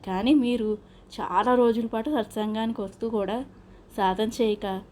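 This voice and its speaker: A woman voicing a wise religious guru in a children's story, instructive and gently chiding. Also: fairly high pitch, a little slow, slight background noise, close to an everyday voice. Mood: knowing, admonishing. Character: wise male religious guru, knowing and admonishing.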